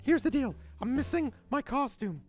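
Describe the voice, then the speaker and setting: Man as an exasperated cartoon teacher, high voice, wildly swinging pitch, stammering, excitable. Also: normal speed, soft background music. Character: flustered, exasperated male teacher hunting for his costume. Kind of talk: improvised comic character acting.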